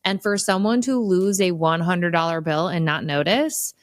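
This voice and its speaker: A woman's voice: lowish voice, normal speed, no room sound, clean studio sound. A woman chatting casually as a podcast guest, speaking in a frank, opinionated way.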